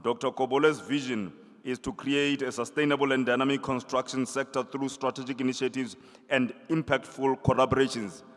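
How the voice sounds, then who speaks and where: Male emcee, mid-pitched, formal and rousing delivery; big hall reverb. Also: fairly even intonation, fairly quick. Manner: rousing, calls for applause. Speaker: master of ceremonies. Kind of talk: formal ceremony introduction. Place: large event hall.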